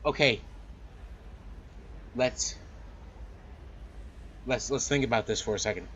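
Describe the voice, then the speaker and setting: A man's voice: medium pitch, slow pace, a bit bright, lo-fi sound, a little hiss or hum. A man hosting a let's play, reading a visual novel aloud and adding casual commentary. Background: game music.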